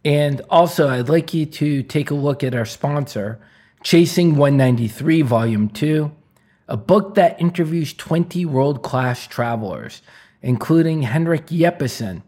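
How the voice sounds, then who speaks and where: A man hosting a travel podcast, reading a scripted introduction and then asking his guest curious, measured questions. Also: fairly high pitch, lively intonation, warm tone. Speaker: podcast host.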